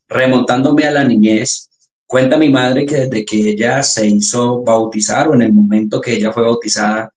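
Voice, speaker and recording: A man's voice: mid-range voice, darkish tone. A young Colombian man with a Colombian accent, earnestly telling his church testimony with frequent restarts. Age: young adult. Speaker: church chaplain giving testimony. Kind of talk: testimony interview. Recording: roomy echo.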